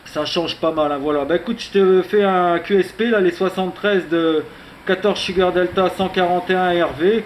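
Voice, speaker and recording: Higher-voiced man on amateur radio. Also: dull audio. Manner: conversational, punctuates with tag words. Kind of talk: casual radio contact chat. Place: radio station in Morocco. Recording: faint background hiss on radio recording.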